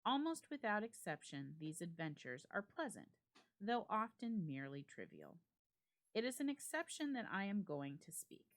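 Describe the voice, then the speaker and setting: A woman reading a classic ghost story aloud as a dramatic narration, in a measured, formal literary delivery. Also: mid-range voice, sing-song. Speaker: library staff member reading a story aloud.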